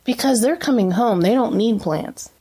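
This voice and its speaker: A woman's voice: fairly high pitch, normal speed, mellow, breathy edge. Chatty woman reflecting in an interview. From Brazil, raised as a missionary kid. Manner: chatty, many fillers and restarts. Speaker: interview guest. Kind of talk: casual reflective conversation.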